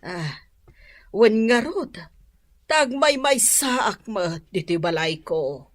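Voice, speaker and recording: A woman's voice: medium pitch, animated, slow. A woman with an Ilocano accent playing a middle-aged, lonely aunt who is keen to travel, in a radio comedy drama. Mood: hospitable.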